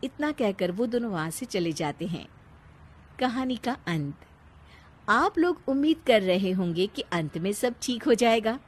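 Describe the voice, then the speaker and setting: A woman narrating a scripted story in an animated, expressive way, with a teasing manner as she addresses the listener. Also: medium pitch, a little slow.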